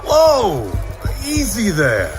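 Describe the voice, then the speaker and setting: A man's voice: high-pitched, huge pitch swings, extremely slow, music in the background, heavily acted. A man playing an officious police officer in an audio drama. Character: officious police officer guarding a crime scene.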